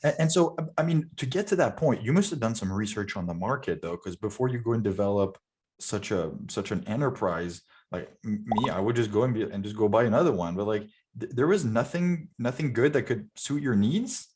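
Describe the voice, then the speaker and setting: A man's voice: lowish voice, expressive, a little fast, a little mellow. A man hosting a podcast, asking questions in a casual conversational style. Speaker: podcast host, interviewer. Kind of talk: casual interview chat.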